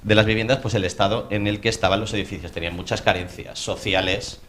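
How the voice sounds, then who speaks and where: A man's voice: fairly low pitch, steady tone, fast, fairly bright tone, slight background noise. A man with a Spanish accent from Spain giving an informal conference presentation as an architect, colloquial and self-interrupting, with joking asides.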